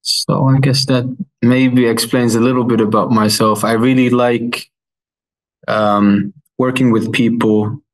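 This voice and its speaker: A man in his thirties from Finland, answering interview questions thoughtfully and pausing often with fillers. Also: mid-range voice, flat delivery, low-quality recording. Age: 30s. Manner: thoughtful, with many fillers and restarts. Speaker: guest.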